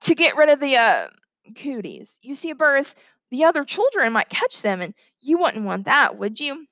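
A woman reader puts on a proper lady schoolteacher from a storybook. Her voice sits high and sounds flustered but polite. The sound is close and dry. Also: big pitch swings, normal speed, a bit bright, no echo, lo-fi sound, exaggerated voice. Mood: polite, instructive.

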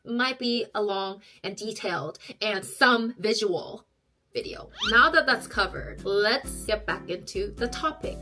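Bright-voiced woman in her thirties. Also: unhurried, faint music behind. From South Korea. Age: 30s. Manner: chatty and expressive, acts out quoted people in a high voice. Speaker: YouTube vlogger. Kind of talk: casual talk to camera. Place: small bedroom indoors.

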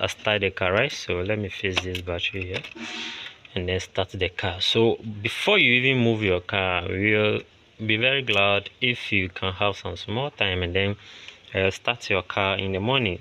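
A Ghanaian-accented man giving an informal hands-on mechanic's tutorial, often checking in with "right?" and posing questions he answers himself. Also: fairly low pitch. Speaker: mechanic giving car tips. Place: outdoors at an open car bonnet. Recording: loud engine and vehicle noise.

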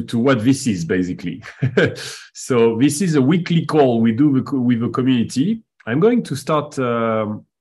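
A middle-aged man speaks over a video call, easing into his introduction with frequent pauses and fillers. His tone stays casual and friendly, like a host of a regular community call. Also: mid-range voice. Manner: hesitant, many fillers and restarts.

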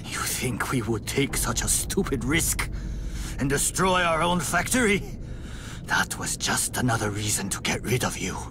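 An actor playing an older Chinese crime boss in a video game, cornered but defiant, speaking formally. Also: male voice, mid-range voice, sing-song, noisy background. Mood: indignant. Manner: measured, formal, unyielding. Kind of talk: dramatic video game acting. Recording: music behind the voice.

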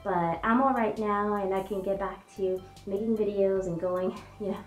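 A woman's voice: mid-range voice, fairly even intonation, normal speed. A young woman vlogging to camera in a casual, bubbly way, with many fillers and restarts, her voice muffled by a fursuit head. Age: young adult. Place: small room indoors. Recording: echoey room with faint music.

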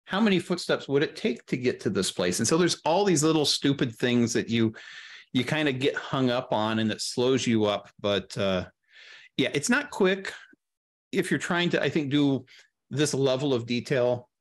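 A middle-aged man talks as a guest producer in a casual video-call interview. His voice sits at an average male pitch. He explains things step by step, in practical detail. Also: lively intonation, moderate pace. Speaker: guest, audio drama producer. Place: indoor room, video call.

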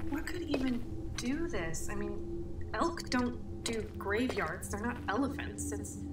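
A woman's voice: on the high side, expressive, takes it slowly, roomy sound, recorded from a distance, loud music behind the voice. A woman playing an unsettled narrator recounting an eerie find of dead animals in an audio drama. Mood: uneasy, grave. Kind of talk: audio drama acting, first-person narration.

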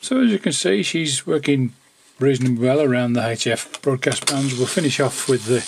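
A middle-aged man with a slightly husky voice chats casually and hesitantly as he presents his electronics hobby in an unscripted way. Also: medium pitch, normal speed, noisy background. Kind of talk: casual demonstration and chat to camera. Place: small home workshop indoors.

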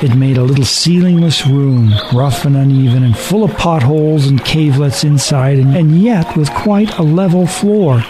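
A man reading a horror story as a dramatic narrator, deliberate and suspenseful. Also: a little high, noisy background. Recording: narration over background music.

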